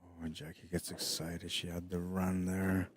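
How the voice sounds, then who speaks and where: A middle-aged man commentating a pool match in a hushed, unhurried voice with a very deep bass, tired late in the day. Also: completely flat delivery, slight background noise. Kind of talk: quiet live sports commentary. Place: pool hall during a tournament.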